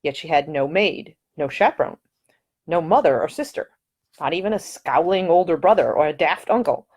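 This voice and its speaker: Female narrator, very lively pitch, expressive audiobook reading, dramatic delivery. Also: normal speed. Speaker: author reading their own novel.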